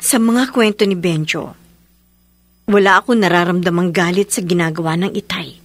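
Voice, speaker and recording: A calm, soft-spoken aunt. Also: female voice, fairly low pitch, no echo. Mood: earnest. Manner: soft, reassuring, measured. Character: calm understanding adult aunt. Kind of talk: radio horror drama acting.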